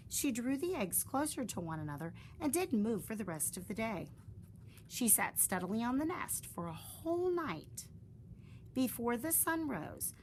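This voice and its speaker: Expressive storybook reader, woman, lively pitch, light background noise. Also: low-quality recording. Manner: expressive storybook reading with slow, drawn-out phrases. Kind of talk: children's story read aloud.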